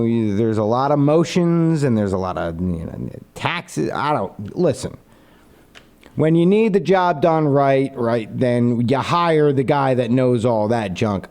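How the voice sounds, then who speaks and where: A young man on a casual live stream, pitching an idea to his viewers in an animated, rambling way and answering their comments. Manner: reads viewer comments aloud and answers them.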